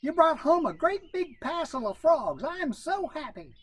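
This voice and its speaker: A man voicing a scolding female raccoon wife in a folk tale, shrill and bossy. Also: sing-song, a little slow, fairly bright tone, heavily acted. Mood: pleased. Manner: shrill, hollering.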